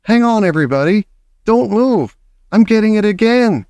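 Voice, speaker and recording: A man reading an audiobook, voicing a shrill, frantic female witch raising the alarm. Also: expressive, moderate pace, darkish tone, smooth, no room sound, clean studio sound. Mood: urgent. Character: shrieking excitable tall female witch. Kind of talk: audiobook character voice.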